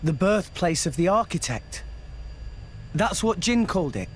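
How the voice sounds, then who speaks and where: High, eager teenage boy. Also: very animated, unhurried, a little hiss or hum. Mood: earnest.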